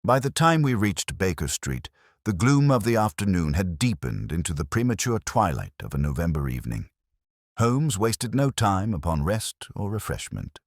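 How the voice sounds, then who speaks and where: A man narrating a mystery story in a measured, formal, literary manner. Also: low-pitched, big pitch swings, no room sound, clean studio sound. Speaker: audiobook narrator. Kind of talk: scripted dramatic mystery narration.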